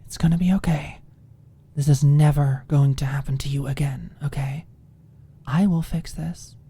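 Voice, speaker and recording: A woman playing a fierce, protective young college student in an audiobook, speaking in a firm, hushed, urgent way. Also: low-pitched, steady tone, moderate pace, slightly rough, full character voice. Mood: intense reassurance. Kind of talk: dramatised audiobook.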